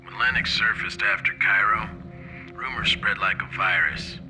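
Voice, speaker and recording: Veteran soldier, low but really sharp tense voice, grim game cutscene storytelling. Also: male voice, steady tone, normal speed, faint music behind, poor audio. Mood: grim, rueful. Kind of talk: dramatic game cutscene acting.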